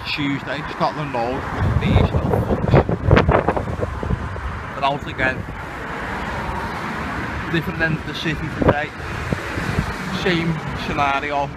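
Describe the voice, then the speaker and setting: Liverpudlian man vlogging outdoors, very noisy recording. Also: medium pitch. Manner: sweary, rambling, conspiratorial. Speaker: host of an amateur video channel. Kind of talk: casual off-the-cuff vlog commentary. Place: outdoors in the city. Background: loud wind and vehicle noise.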